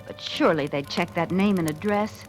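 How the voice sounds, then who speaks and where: A woman's voice: lowish voice, moderate pace, close to an everyday voice. An actress playing a jittery petty crook who narrates her fear of a killer in 1940s radio thriller style. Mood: uneasy, frustrated. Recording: vintage radio recording with music.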